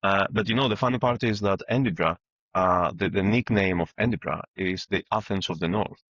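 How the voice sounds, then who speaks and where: A man from Greece, a historian guest speaking relaxed and conversational over a video call. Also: low-pitched, a little fast, no echo, dull audio. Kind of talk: remote interview.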